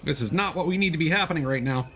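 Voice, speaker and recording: A man doing casual live gaming commentary and chatting with viewers, excitable and quick to exclaim when surprised. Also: on the high side, a little hiss or hum. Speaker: video game streamer.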